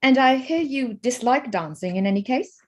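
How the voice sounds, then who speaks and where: An actress playing a witty, proud young gentlewoman with an arch, spirited manner in a period staged reading. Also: sits high, expressive, normal speed. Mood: cool, arch.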